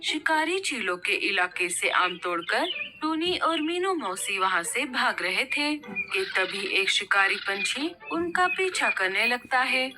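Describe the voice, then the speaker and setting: A woman's voice: a little high, big pitch swings, unhurried, music in the background. A woman narrating a children's cartoon story from a script. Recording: noticeable background noise.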